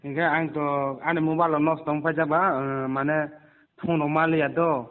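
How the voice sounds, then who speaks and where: A man's voice: fairly high pitch, little pitch movement, moderate pace. A man talking casually in a back-and-forth phone conversation. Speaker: person receiving a prank phone call. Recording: tinny phone-like sound, background noise.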